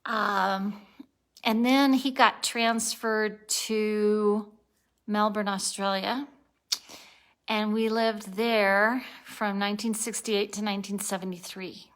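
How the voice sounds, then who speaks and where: A woman's voice: mid-range voice, fairly even intonation, slow. A woman in her sixties, tired and a little spacey, rambling casually in a daily video diary and laughing at her own slips. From born in Turkey, raised abroad, lives in Colorado. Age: 60s. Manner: rambling, self-correcting, laughs at herself. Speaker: vlogger.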